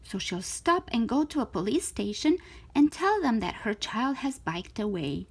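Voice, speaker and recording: A woman reading a children's story aloud in an expressive, theatrical way, with emphatic stretched words. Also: fairly high pitch, moderate pace, vocal fry, husky. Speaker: storytime reader of children's books.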